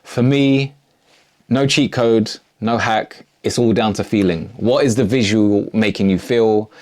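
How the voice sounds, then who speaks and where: Male tutorial host, lower register, casual and slangy, keen music producer talking viewers through his process. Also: unhurried, darkish tone. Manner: enthusiastic, slangy. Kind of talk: casual video tutorial narration.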